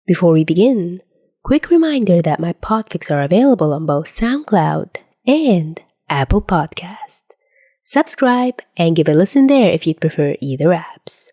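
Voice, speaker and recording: A woman reads fiction like an audiobook, with a fairly low, mellow voice. The delivery is expressive and moves widely in pitch. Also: unhurried, no echo, studio quality. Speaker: podfic narrator. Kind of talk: expressive audiobook-style story narration.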